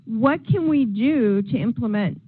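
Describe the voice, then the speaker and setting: A woman in the audience asking an earnest question at an unhurried pace. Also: fairly high pitch, expressive, slightly rough. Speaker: audience member. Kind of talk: question from the floor. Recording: tinny phone-like sound with faint room noise.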